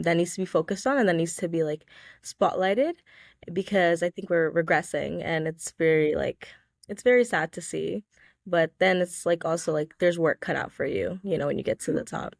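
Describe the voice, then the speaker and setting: A woman's voice: fairly low pitch, vocal fry, no echo, clean studio sound. A young woman, a student guest from Georgia in the United States, answering interview questions casually with many "like"s and "um"s. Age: young adult. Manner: chatty, many fillers like "like" and "um".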